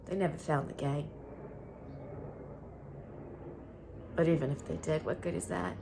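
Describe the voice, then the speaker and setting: Stage monologue: actress as fragile, traumatised young woman, intense and halting, slightly low voice, faint noise. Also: steady tone. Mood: hushed, grieving. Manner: halting, intense, often dropping to a breathy hush. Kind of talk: dramatic theatre monologue.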